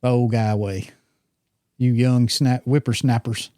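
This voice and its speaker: A man's voice: mid-range voice, little pitch movement, a little slow, dark tone, dry recording, studio quality. A man around sixty, co-hosting a hunting podcast in casual, rambling, teasing chat. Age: 60s. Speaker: podcast co-host.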